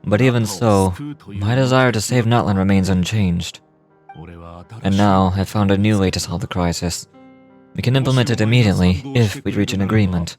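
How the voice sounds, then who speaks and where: A man acts out a calculating commander from a video game with a fairly low voice. He speaks formally and deliberately, calm and grave as he persuades. Faint music plays underneath. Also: natural voice. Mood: composed, resolute.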